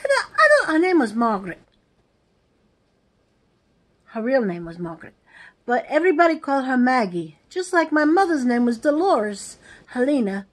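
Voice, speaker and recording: A woman's voice: high-pitched, very animated, moderate pace, long pauses. Chatty older woman with a put-on Italian-American accent. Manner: chatty, digressive, talks straight to viewers. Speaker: vlogger, family storyteller. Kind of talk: rambling family storytelling.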